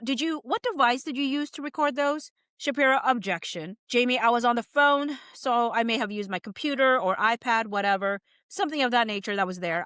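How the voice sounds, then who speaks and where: A woman's voice: a little high, fairly bright tone, dry recording, studio quality. A woman narrator reading a legal deposition transcript aloud, questions and answers alike.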